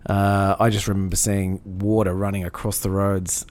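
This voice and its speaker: A man's voice: deep voice, little pitch movement, normal speed, darkish tone. An Australian man in a studio talks markets and weekend news in a chatty, easygoing way. He often stops and restarts himself mid-thought, with plenty of ums along the way. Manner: chatty, hesitant with many fillers. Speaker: podcast co-host, finance analyst. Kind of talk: casual chat.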